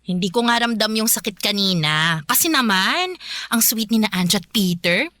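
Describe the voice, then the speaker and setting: A woman's voice: mid-range voice, animated, moderate pace, pushed and bright, no echo, clean studio sound. An actress playing a playful, affectionate Filipino woman in her thirties, a live-in girlfriend, in a radio drama. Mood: happy, relieved.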